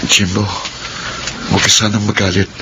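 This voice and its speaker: A man's voice: lowish voice, flat delivery, moderate pace, lightly acted. An actor playing a remorseful young man begging forgiveness in a classic radio drama, talking in a pleading way. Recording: hissy old radio broadcast.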